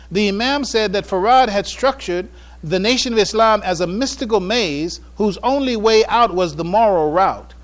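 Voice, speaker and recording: An American man delivering a prepared public address on religion and history from notes, with formal, deliberate, emphatic phrasing. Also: sits high. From United States. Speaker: speaker giving a public address.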